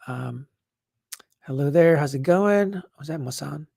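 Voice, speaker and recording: A man with a hoarse, worn-out voice hosting a casual live Q&A, rambling with lots of fillers and restarts as he answers comments. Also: fairly high pitch, measured pace, darkish tone, no room sound, studio quality. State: hoarse, losing his voice. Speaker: event organizer hosting a live update. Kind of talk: casual livestream Q&A.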